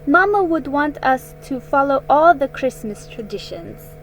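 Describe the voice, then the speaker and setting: A woman voicing an excitable eldest sister in a storybook, eager and emphatic. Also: sits high, measured pace, soft background music, voice pushed up, low-quality recording. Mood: agreeing.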